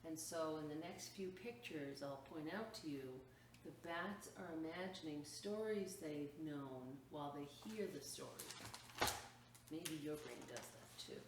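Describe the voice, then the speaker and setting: A woman's voice: deep voice, unhurried. A woman reading a picture book aloud to children as a story time host, expressively drawing out words and adding chatty, explanatory asides. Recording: echoey room, distant mic, faint noise.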